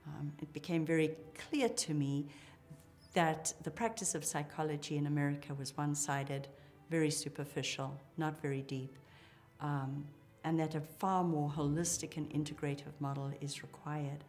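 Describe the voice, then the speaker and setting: A middle-aged woman talks in a notably low voice. She sounds articulate and enthusiastic as a psychologist describing her work. Faint music runs behind her. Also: fairly even intonation, moderate pace, darkish tone. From Zimbabwe. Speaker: psychologist, clinic co-founder. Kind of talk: interview account.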